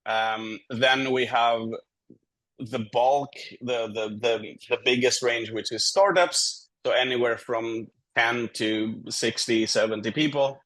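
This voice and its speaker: A man from Greece talking conversationally as a consultant and guest in a business interview, candid and measured, laying out his points in order. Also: mid-range voice, a bit bright, rough recording.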